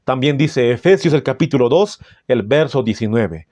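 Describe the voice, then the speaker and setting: A man with a Bolivian Spanish accent preaching in a solemn, didactic way, reading out passages with deliberate phrasing. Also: medium pitch, expressive, darkish tone, no echo. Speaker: Adventist preacher. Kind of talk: sermon with scripture and book readings.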